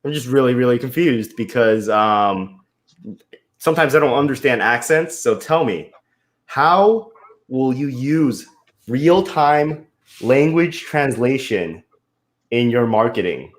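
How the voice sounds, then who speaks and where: An American man hosting a party pitch game on a video call, teasing and mock-stern with his questions. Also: animated, slow pace. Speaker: game host.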